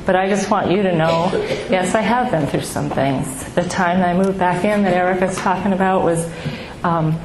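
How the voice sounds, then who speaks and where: A middle-aged woman with a small, soft voice sharing her own story of grief with an audience, halting and heartfelt with many pauses. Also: lowish voice. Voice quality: soft, small voice she calls baby-like. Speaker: speaker at a grief support gathering. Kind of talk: personal testimony to an audience. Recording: echoey room with background noise.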